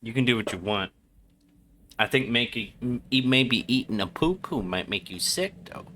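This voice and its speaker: Forceful, bright-voiced male game streamer. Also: medium pitch, expressive, moderate pace, a little hiss or hum. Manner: sweary, slangy. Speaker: livestream gamer host. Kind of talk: casual gaming stream chat and reactions.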